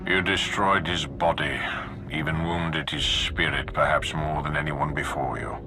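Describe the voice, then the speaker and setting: An extremely low, creaky male voice gives life to a stern Sith lord in a science-fiction game. He is formal, measured and ominous. Music plays clearly behind him. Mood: grave, ominous. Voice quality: very deep, gravelly. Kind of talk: dramatic sci-fi game dialogue.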